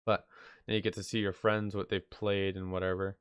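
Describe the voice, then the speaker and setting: A man hosts a casual how-to video in a deep, clean voice, slightly nasal with a head cold. He rambles and hesitates in an offhand way. The sound is dry and close on the microphone. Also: flat delivery, a little fast, smooth, no room sound. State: sick with stuffy nose. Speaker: video tutorial host. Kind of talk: casual unscripted software tutorial. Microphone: close mic, screen-recording voice-over.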